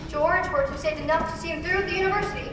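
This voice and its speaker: A woman's voice: very high voice, fast. A young woman playing the patient storytelling narrator of an old-fashioned radio play. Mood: calm storytelling. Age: young adult. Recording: echoey hall, distant mic, loud music.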